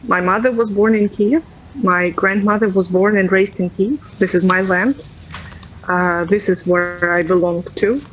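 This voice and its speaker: A woman in her thirties from Ukraine, a native Russian speaker, speaking English with an accent in a remote news interview, earnest and halting with many fillers. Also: mid-range voice, steady tone, low-quality recording. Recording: faint background noise.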